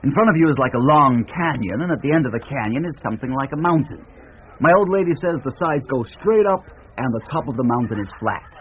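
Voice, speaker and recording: An actor playing an easygoing American hippie drifter with a folksy, hesitant way of talking in a naturalistic radio drama. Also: male voice, mid-range voice, animated, fast, natural voice. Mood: earnest, wistful. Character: easygoing drifting American hippie traveler, devoted to his partner. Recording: tinny, band-limited, noisy radio recording.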